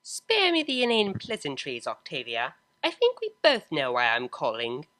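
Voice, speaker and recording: A man's voice: a little high, wildly swooping pitch, moderate pace, sharp tone, exaggerated voice. A man voicing a stern, commanding pony mother from a story, formal and condescending. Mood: stern, disdainful.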